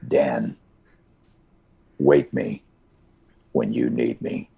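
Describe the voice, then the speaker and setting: An actor voicing a menacing game character with a gravelly, husky voice and portentous delivery. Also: male voice, very deep voice, steady tone, extremely slow, mellow, exaggerated voice. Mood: ominous.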